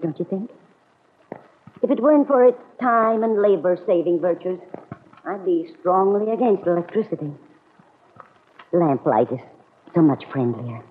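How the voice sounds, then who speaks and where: Kindly middle-aged American aunt, for a vintage radio serial: motherly, genteel, concerned; narrow, noisy archive sound. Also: lowish voice. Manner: soothing, genteel, reflective. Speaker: radio actress. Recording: noisy, narrow-band old radio recording.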